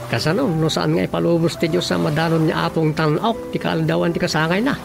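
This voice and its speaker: Grave, disapproving sidekick, gravelly yet fairly high voice, Ilocano accent, radio comedy drama. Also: male voice, fairly even intonation, brisk. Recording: music bed behind the voice.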